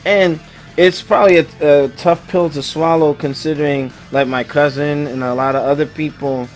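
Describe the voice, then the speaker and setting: A man hosting a casual group chat show, speaking in an informal, slangy and excitable way. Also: on the high side, moderate pace, soft background music, rough recording. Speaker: host.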